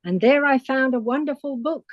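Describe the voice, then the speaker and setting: An older woman from England, recounting her career as a guest on a podcast interview in a measured storytelling way. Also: high voice, no echo, muffled recording. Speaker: guest paleontologist.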